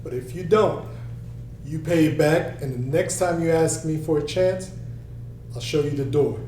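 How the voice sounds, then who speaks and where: A man plays a stern but fair middle-aged father running a bar in a drama read aloud. His voice is slightly high and mellow, delivered deliberately. The room echoes. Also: lightly acted. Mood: stern, measured. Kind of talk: script read aloud at a table read. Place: roomy indoor table read. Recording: echoey room, faint noise.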